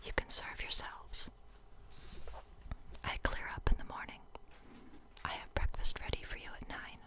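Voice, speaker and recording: A woman reader plays a dour housekeeper from a gothic storybook, all in whisper. She recites her ominous rules slowly and flatly, rigid and gloomy.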